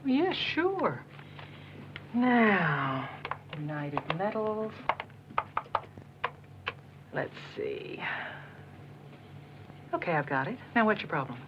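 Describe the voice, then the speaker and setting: An actress playing a businesslike accounts clerk answering a call, in a 1980s training film. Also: female voice, mid-range voice, very animated, drawn-out words, pauses often, very noisy. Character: brisk accounts clerk on the phone. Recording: muffled film soundtrack.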